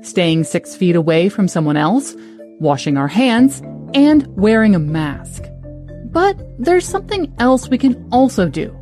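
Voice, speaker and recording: A woman host reading a scripted news intro in a brisk, punchy way. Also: mid-range voice, very animated, mellow. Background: faint music.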